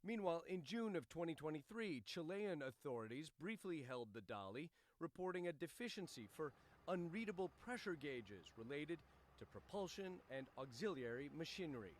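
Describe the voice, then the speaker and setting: A man delivering scripted news narration in a measured, informative way. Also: on the high side, very animated.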